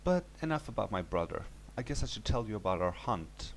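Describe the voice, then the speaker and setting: A man's voice: on the low side, very animated, a little fast, vocal fry, a little hiss or hum, poor audio. A man reading a scripted first-person horror story as its narrator.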